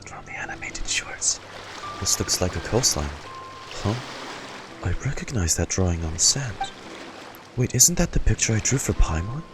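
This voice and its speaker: A man's voice: lowish voice, very animated, normal speed, long pauses. A man streamer reacting casually to a game and reading its dialogue aloud over game music. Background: loud game music.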